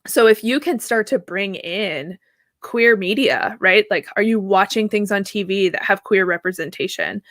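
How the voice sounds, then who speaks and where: A woman in her thirties, a therapist guest answering at length in a casual, animated way, laughing easily and often checking in with "right?". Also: mid-range voice, normal speed, dry recording. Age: 30s. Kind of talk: conversational interview answer.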